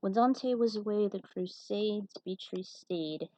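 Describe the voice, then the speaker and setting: A woman's voice: medium pitch, slow, mellow, dry recording, low-quality recording. A woman hosting and reading a fan fiction story aloud in an informal, amateur style with casual asides. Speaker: host and reader of a fan fiction series.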